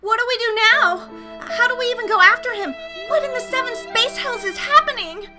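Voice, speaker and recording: Frantic high-pitched space heroine played by a woman. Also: a little fast, loud music behind the voice. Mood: frantic exasperation. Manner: urgent, exclamatory. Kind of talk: comic sci-fi audio drama acting.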